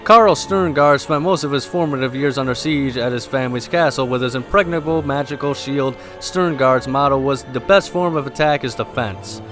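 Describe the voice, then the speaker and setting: A man hosting a gameplay video, mixing casual commentary with lore read aloud, in a somewhat halting delivery. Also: mid-range voice, a bit bright. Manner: halting, stumbles while reading aloud. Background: game music.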